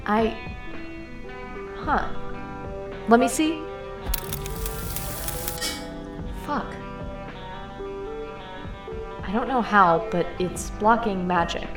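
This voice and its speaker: A woman's voice: mid-range voice, lively intonation, takes it slowly. A woman playing a cocky, encouraging burglar in an audio drama, with a casual, playful, self-assured delivery. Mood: puzzled. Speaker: voice actor in a fiction podcast. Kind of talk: naturalistic audio drama acting. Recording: roomy echo, music underneath.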